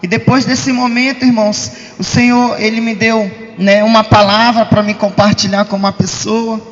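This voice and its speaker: High-voiced Brazilian man testifying in church. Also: little pitch movement, moderate pace. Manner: emphatic, repeatedly addressing the congregation as brothers. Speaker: church member giving testimony. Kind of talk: religious testimony to a congregation. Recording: dull, muffled sound in reverberant hall.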